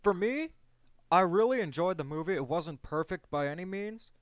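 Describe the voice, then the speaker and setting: Clear, higher-voiced man, dry lo-fi recording. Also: expressive, normal speed, no echo. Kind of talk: casual movie discussion. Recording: dull, lo-fi, close dry sound.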